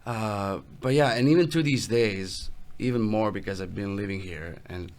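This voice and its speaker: A young adult man from Italy with an Italian accent, a guest musician talking casually in an interview, relaxed and halting as he restarts his phrases. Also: on the low side.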